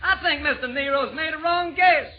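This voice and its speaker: Very high-pitched crooked Southern villain. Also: male voice, normal speed. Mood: sly, folksy. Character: crooked, folksy Southern slaver villain plotting bribery. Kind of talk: 1930s-40s American radio verse comedy acting. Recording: dull old-time radio recording.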